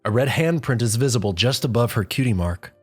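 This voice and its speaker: A woman's voice: rumbling low, expressive, a little fast, breathy edge. A woman reading a story aloud as scripted second-person narration. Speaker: fan fiction reader. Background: faint music.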